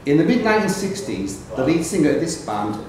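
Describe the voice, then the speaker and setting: A man's voice: fairly high pitch, sing-song, a little fast, darkish tone, a little hiss or hum. A man acting as quiz master in a pub, reading questions aloud and calling on players by name. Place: pub quiz room, indoors. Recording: very echoey pub room, clear chatter.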